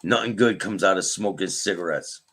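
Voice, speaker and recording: Male streamer host, raspy, somewhat low-pitched, reads news and greets viewers by name. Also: moderate pace, fairly bright tone. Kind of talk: live-stream news commentary and chat with viewers.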